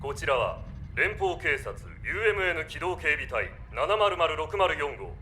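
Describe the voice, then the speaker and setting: An actor playing a stern, officious space police officer with formal, commanding delivery in a Japanese audio drama. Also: male voice, on the high side, sing-song, unhurried, bright, forceful tone, a little hiss or hum, exaggerated voice.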